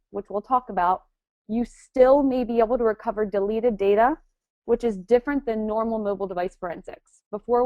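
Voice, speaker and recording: A woman's voice: mid-range voice, no echo, lo-fi sound. A woman with a cold presenting a technical forensics webinar as the instructor, explaining step by step with frequent "um" fillers. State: has a cold.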